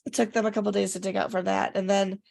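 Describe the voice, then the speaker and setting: A woman co-hosting a casual chat, her voice raspy and congested from recent illness, talking in a rambling way with fillers. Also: medium pitch, level tone, fast talker, a little mellow. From Rochester area, upstate New York. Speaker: podcast co-host.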